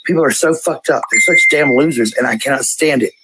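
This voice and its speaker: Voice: medium pitch, a little fast, heavy background noise. A person ranting casually in a blunt, coarse, profane way, heard on a played-back voice clip.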